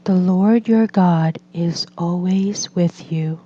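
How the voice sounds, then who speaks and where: A woman narrates a children's picture book at a very slow pace. Her voice is mellow and mid-pitched, with gentle, deliberate phrasing. The recording is slightly dull and lo-fi. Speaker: read-aloud storyteller for children and English learners.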